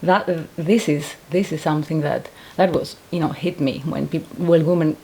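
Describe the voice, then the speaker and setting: A woman's voice: low-pitched, fairly even intonation, normal speed, warm tone, a little hiss or hum. A woman in her thirties from Greece, speaking English with a Greek accent, giving earnest personal testimony and searching for words with frequent restarts. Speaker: painter and survivor giving testimony.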